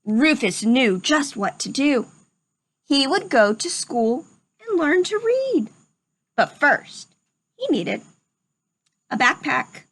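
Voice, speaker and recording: A woman with a high, very lively voice narrates a children's storybook. She speaks slowly and expressively, as if to young listeners. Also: low-quality recording. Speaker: storybook reader. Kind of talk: children's read-aloud narration.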